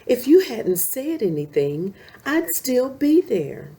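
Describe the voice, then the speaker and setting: Storybook girl lion cub, acted by a woman: small, sincere, emphatic, raised pitch. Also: sing-song, slow pace, warm tone, exaggerated voice. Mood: relieved.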